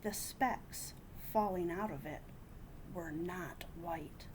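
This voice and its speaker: A woman reading a children's picture book aloud in an animated, deliberate way, with dramatic hushes and emphasis. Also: fairly low pitch, background noise. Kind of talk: expressive read-aloud storytelling.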